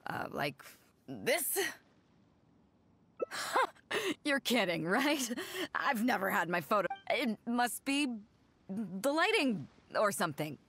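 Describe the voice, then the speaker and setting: A woman playing a confident, playful, hearty female ship captain in a video game, with a breezy, teasing, expressive delivery. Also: medium pitch, slow, long pauses, husky, exaggerated voice. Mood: amused, doubtful. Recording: music behind the voice. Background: game music.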